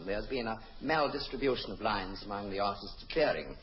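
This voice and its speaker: A man's voice: on the low side, a little fast, slight background noise, natural voice. A man playing a polite, fussy announcer in an old radio comedy, hesitant and genteel in his bumbling way. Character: polite, fussy male announcer interrupting the show. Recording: dull old radio recording.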